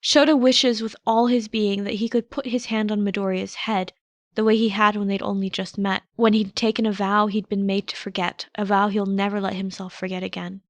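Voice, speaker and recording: A woman's voice: medium pitch, flat delivery, normal speed, dry recording. A woman narrating fiction and sharing her reflective thoughts on it as a podfic reader.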